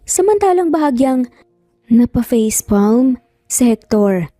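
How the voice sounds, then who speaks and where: Female narrator for romance drama: warm tone, fairly high, close dry sound with light music behind. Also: expressive, unhurried, no echo. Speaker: story narrator.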